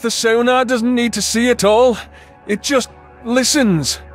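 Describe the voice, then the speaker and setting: A man puts on an excitable bookish alien in a sci-fi audio story. The voice is high, eager and geeky, over faint music. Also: a little slow. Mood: excited.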